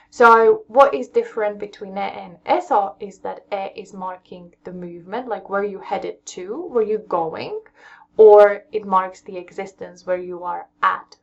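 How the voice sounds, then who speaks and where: A young English woman teaches a patient, step-by-step lesson at her desk, with a gravelly fry running through her voice. The webcam sound is dull and lo-fi, and she keeps checking in with "okay?" as she explains. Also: medium pitch. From England. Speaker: Korean language teacher.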